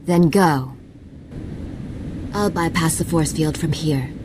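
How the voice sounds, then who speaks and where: A woman's voice: sits low, takes it slowly, darkish tone, a little hiss or hum. A woman playing a terse, commanding commando on a covert mission in a video game, speaking with urgency. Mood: urgent, focused. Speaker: military field operative. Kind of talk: scripted video game acting.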